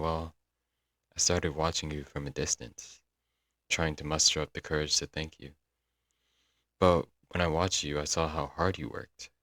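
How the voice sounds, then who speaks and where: A man's voice: extremely low pitch, fairly bright tone, dry recording, clean studio sound. A man playing a young, lovesick and clingy stalker in an intimate, earnest ASMR audio roleplay. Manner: doting, earnest, dramatic with sighs and chuckles. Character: lovesick, broke young male yandere stalker-kidnapper, clingy and sweet.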